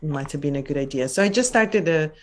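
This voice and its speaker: A woman's voice: low-pitched, animated, brisk. A woman in her forties from Italy with an Italian accent, speaking casually as an interview guest. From Italy, Venice area. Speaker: podcast guest, yoga teacher.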